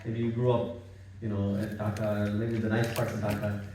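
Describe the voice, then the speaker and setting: A man gives an informal religious talk. His voice is soft-edged and mellow, heard at some distance in a very echoey room. He is conversational, circling back over phrases and checking for agreement. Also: lowish voice, little pitch movement, normal speed, slight background noise. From Bangladesh. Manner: conversational, repetitive, seeking agreement with tag questions. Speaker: Islamic study circle speaker. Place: gathering in a private house.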